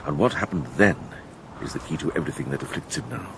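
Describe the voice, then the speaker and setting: An actor playing a shrewd, devout medieval monk who investigates, with a British accent and a measured, pondering delivery in a radio drama. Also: male voice, extremely low pitch, lively intonation, vocal fry, background noise, heavily acted. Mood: grave, searching. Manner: measured, hesitant pondering, gently authoritative.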